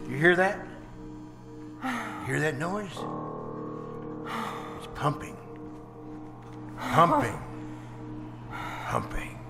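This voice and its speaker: A gloating murderer in an old-time radio chiller, his high voice swooping wildly as he cackles and lingers on favorite words. He boasts with theatrical relish, performed live with music behind him. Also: very animated, creaky, heavily acted. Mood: menacing glee. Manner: cackling, theatrical, savoring pauses and repeated words. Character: gloating, boastful male serial killer showing off his murder house. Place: live performance at a winery venue. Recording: live stage radio play over music.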